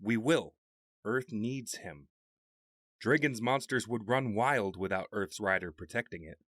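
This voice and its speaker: A man's voice: on the low side, a little slow, fairly bright tone, no echo, clean studio sound. A man playing a steadfast warrior mentor, loyal to a prince, in an audio drama.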